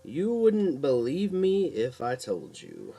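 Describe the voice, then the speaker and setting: A man's voice: medium pitch, wildly swooping pitch, slow pace, creaky, warm tone, full character voice. A man voicing a grim, protective young male hero in a supernatural story, terse and resolute. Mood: sad, guarded.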